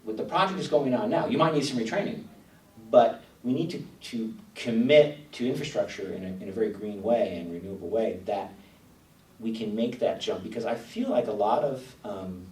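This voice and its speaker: A man argues his policy points earnestly and with emphasis. His voice is low and mellow. He is heard from off-mic, with room echo and faint noise behind him. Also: lively intonation, normal speed, far from the mic. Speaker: panel guest. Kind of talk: policy discussion. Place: TV studio.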